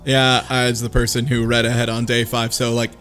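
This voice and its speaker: A man's voice: medium pitch, completely flat delivery, normal speed, a bit bright, soft background music. A man in a casual group chat, talking eagerly and bluntly, swearing casually. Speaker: book club member.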